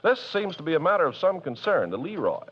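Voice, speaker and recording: A man's voice: a little high, very animated, fast, husky. A man announcing a 1940s radio comedy in a polished, theatrical way. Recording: dull lo-fi 1940s radio broadcast.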